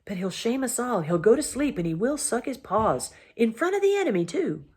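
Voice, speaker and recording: A woman's voice: a little high, sing-song, fairly quick, darkish tone, slightly rough, voice pushed up. Woman doing a blunt whispering dwarf. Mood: urgent. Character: gruff small male dwarf, blunt.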